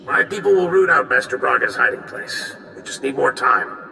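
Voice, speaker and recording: An actor playing a gruff veteran general in a video game, with a gravelly voice and a brisk, commanding manner. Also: male voice, mid-range voice, expressive, pushed and bright, full character voice. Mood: assured. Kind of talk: video game cutscene dialogue. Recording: echoey, distant, music behind.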